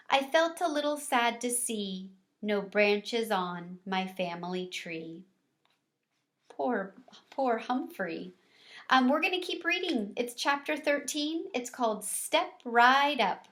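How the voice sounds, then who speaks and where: A woman reading a children's storybook aloud, deliberately and expressively, as if for young listeners. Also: on the high side, a bit bright. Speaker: read-aloud teacher or reader.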